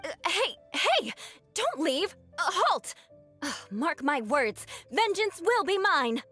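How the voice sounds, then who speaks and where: A woman's voice: fairly high pitch, very animated, moderate pace, faint music behind. A woman voicing a proud young noblewoman knight in a video game, with a formal, haughty manner. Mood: flustered indignation. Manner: haughty, formal archaic phrasing.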